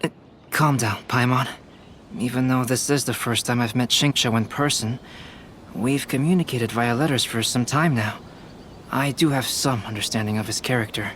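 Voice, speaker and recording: A man voicing a calm, composed young alchemist and artist in a video game, speaking in a measured, reasoning way. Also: medium pitch, a little hiss or hum, natural voice. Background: game music.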